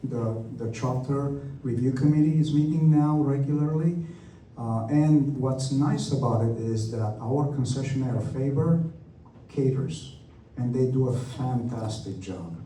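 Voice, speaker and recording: A man's voice: medium pitch, dark tone, a little hiss or hum. Romanian-accented man in an echoey hall. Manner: friendly, talkative, self-deprecating. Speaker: airport representative. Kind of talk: Q&A at a community meeting. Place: church hall meeting room. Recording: echoey hall, speaker far from mic.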